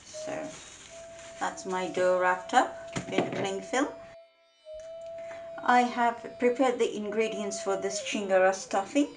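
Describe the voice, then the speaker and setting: Slow-speaking woman hosting a cooking show. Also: mid-range voice, over music, low-quality recording. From Bangladesh. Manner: slow, careful step-by-step explaining. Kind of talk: home cooking demonstration.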